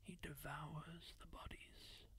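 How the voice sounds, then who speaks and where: A man voicing a kindly masked healer-warrior, telling tales in a slow, breathy ASMR whisper close to the mic. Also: on the high side, steady tone, bright, forceful tone. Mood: hushed. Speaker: ASMR roleplayer. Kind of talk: whispered ASMR roleplay monologue.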